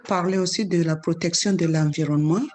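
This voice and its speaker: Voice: mid-range voice, steady tone, fairly quick, a little mellow. A teacher in a casual video meeting who chimes in with short remarks and comments. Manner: short interjections and backchannels.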